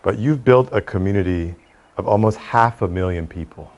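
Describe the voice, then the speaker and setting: Interviewee, male, middle-aged, American accent, lowish pitch, earnest and articulate executive. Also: moderate pace, a little mellow, highs cut off. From Cleveland, United States. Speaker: real estate executive being interviewed. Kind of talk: conversational interview.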